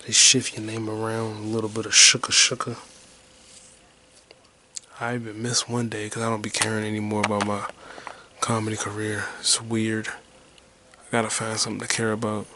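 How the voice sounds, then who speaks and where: A man in his twenties talks in a low, monotone murmur, drifting from thought to thought with a detached, low-energy air. His voice sits deep and barely rises or falls, and a faint hiss hangs under the recording. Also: moderate pace, long pauses, low-quality recording. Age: 20s. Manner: low-key, detached, mumbling; whispered rambling. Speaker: podcast host. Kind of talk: rambling solo podcast monologue.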